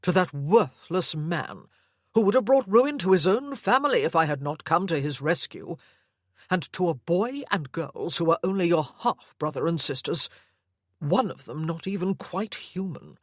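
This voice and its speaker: A woman reading the part of an obsessive older gentleman who has kidnapped his supposed daughter. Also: fairly low pitch, animated, moderate pace, dry recording, studio quality. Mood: possessive.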